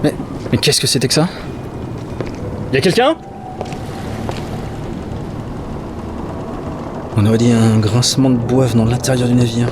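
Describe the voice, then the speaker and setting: A man's voice: mid-range voice, lively intonation, brisk, background noise, natural voice. A man playing a curious, uneasy dockworker in an audio drama, wondering aloud to himself. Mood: startled, uneasy. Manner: talks to himself, exclamatory and wondering. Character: curious, uneasy male dockworker exploring a ghost ship. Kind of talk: audio fiction acting.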